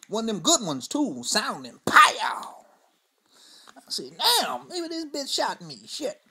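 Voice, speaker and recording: A man's voice: very high-pitched, huge pitch swings, normal speed, bright, forceful tone, husky, exaggerated voice. A man playing an older, crude storyteller who speaks in a loose, profane, rambling drawl and keeps asking "you know" and "see?". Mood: wry. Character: crude old Southern man spinning tall tales. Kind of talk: stand-up character monologue.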